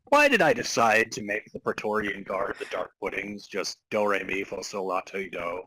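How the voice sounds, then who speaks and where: Guy podcast co-host just chatting, kinda husky voice, dry close sound. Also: fairly low pitch, animated, normal speed, pushed and bright, dry recording, poor audio. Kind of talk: casual chat.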